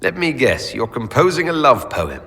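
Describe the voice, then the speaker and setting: An actor playing a composed, supportive heroic leader in a video game, calm and direct. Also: male voice, mid-range voice, moderate pace, gravelly, in a large hall, exaggerated voice. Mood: teasing, encouraging. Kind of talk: video game cutscene acting.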